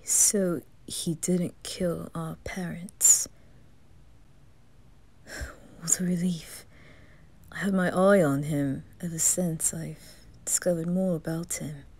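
A woman voicing a reserved, proud, aristocratic young brother in an ASMR fan roleplay, hushed, hesitant and earnest. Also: fairly low pitch, steady tone, slow, long pauses, breathy edge, exaggerated voice. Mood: apologetic, relieved.

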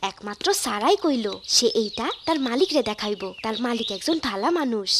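A woman's voice: fairly high pitch, lively intonation, fairly quick, noisy background, lightly acted. A woman voicing a spirited, kind-hearted young village girl in a cartoon, speaking earnestly with a rural Bangladeshi accent. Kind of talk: cartoon dubbing, expressive storytelling.

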